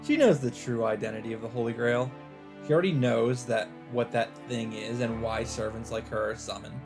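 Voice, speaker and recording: A man's voice: medium pitch, moderate pace. Man narrating dramatically over music. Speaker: let's play host reading a visual novel aloud. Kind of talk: dramatic narration read aloud from on-screen text. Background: background music.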